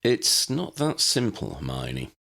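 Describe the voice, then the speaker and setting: A man as a cautious, gravelly wizard hero. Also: very deep voice, huge pitch swings, pushed and bright, exaggerated voice. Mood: doubtful. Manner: measured, worried. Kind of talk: storybook character acting.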